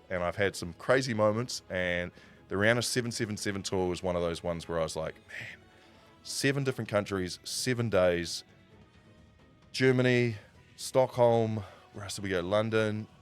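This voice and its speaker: A man with a New Zealand accent telling stories casually as a livestream host, in an animated raconteur's way with dramatic pauses. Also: on the low side, clean tone. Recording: music playing behind the voice. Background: background music.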